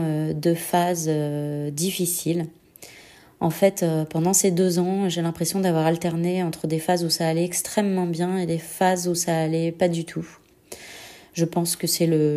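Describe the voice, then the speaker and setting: A young adult woman with a slight head cold, giving an intimate personal testimony in a hesitant, reflective way with frequent pauses. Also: deep voice, mellow. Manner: hesitant, reflective, pausing often. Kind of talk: intimate personal testimony, answering set questions.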